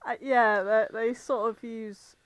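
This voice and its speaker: High-voiced British woman, casual podcast chat. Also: slow pace. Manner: animated storyteller, acts out imagined dialogue. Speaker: podcast co-host.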